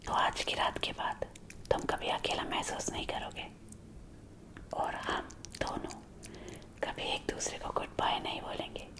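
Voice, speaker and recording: A woman's voice: measured pace, long pauses, natural voice. A woman whispering as a centuries-old vampire girlfriend. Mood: tender, tempting. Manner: hushed, urgent, intimate. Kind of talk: ASMR roleplay whispering. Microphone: close binaural mic.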